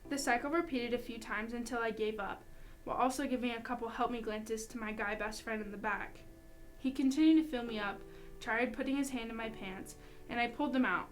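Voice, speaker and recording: Higher-voiced young woman reading aloud. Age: young adult. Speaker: student reader. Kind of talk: reading a personal account aloud.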